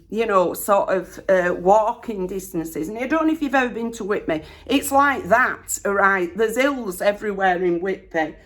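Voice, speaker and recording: A middle-aged woman with a Northern English accent, slightly croaky, chatting homely and rambling while doing her makeup. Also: mid-range voice. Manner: rambling, homely, lots of ums and rights. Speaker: vlogger. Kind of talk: chatty get-ready-with-me storytime.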